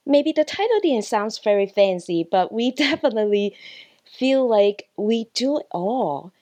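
Slow, high-voiced woman guest. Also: lively intonation, darkish tone. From China, grew up in Hong Kong. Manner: earnest, with some non-native grammar. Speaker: customer service employee, guest. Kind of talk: conversational interview.

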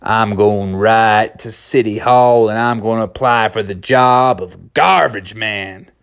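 A man voicing a folksy, self-assured cowboy horse, a tough old-west sheriff type with a slow Southwestern drawl, in a children's story. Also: fairly low pitch, fairly bright tone, clean tone. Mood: gruff, determined.

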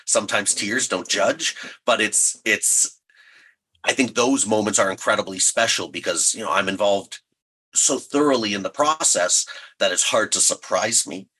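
A man's voice: on the low side, normal speed, bright, forceful tone, husky, rough recording. A man talking as an interview guest in a thoughtful, earnest and reflective conversational way. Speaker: audio drama writer and editor, guest.

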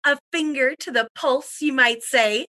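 High, bright, theatrical gossipy woman played by an actress. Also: unhurried. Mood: insistent. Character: eager, theatrical, gossipy female guest radio co-host. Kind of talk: audio fiction comedy as radio broadcast.

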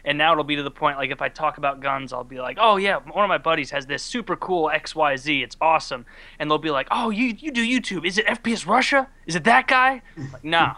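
A man jokes and banters in casual chat. His voice is bright and forceful, and the recording is dry and close. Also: fairly high pitch, brisk, dry recording. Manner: laughing, joking banter.

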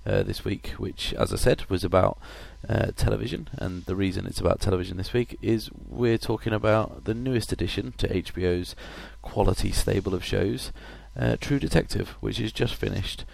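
Guy with a cold, husky gravelly low voice, hosting a chatty podcast, lots of uhs. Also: moderate pace, few pauses, a little mellow. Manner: hesitant, lots of uh and um fillers. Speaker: podcast host. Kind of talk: casual film podcast chat.

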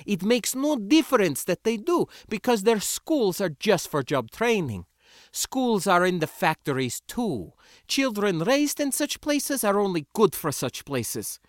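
A man voicing a scornful, quick-tempered young woman rebel in an audiobook, with emphatic, dismissive delivery. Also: high-pitched, sing-song, no room sound, clean studio sound, pitched above the performer's own voice. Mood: bitter, angry.

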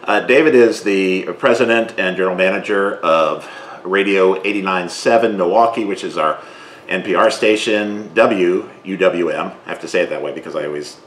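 A man hosting a cooking interview podcast, asking questions in a relaxed, measured way with many ums. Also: low-pitched, roomy sound, a little hiss or hum. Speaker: podcast host.

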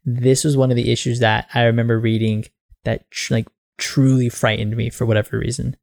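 A man's voice: medium pitch, flat delivery, brisk, vocal fry, darkish tone, no room sound, studio quality. A man co-hosting a comics book club podcast, recapping the story in a rambling way and laughing often. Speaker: podcast co-host. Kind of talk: casual book club discussion.

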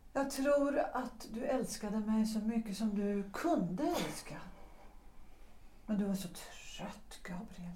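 An actress playing a former singer who calmly and deliberately explains why she left her lover. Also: medium pitch, lightly acted. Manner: measured, deliberate, quietly reproachful. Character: woman, a former opera singer, calmly accusing. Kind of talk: audio drama acting. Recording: roomy echo.

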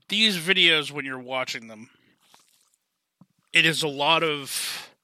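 A man in a casual podcast discussion, talking haltingly and hedging as he goes. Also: on the high side, sharp tone, dry recording.

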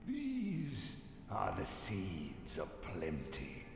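Audio drama casting: solemn alien-cult preacher, deep incantatory delivery with swooping emphasis, music behind. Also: male voice, huge pitch swings, creaky, exaggerated voice. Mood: solemn, reverent. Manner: slow, incantatory. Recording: echoey cavern reverb, music behind.

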